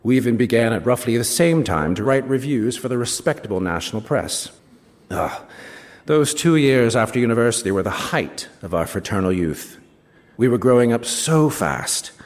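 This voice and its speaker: An actor playing a wry male British novelist, reading a first-person literary story in an expressive, savouring way with small asides. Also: mid-range voice, normal speed, close to an everyday voice. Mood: wry, nostalgic. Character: wry male British novelist recounting a rivalry with his friend. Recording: reverberant hall, stage reading.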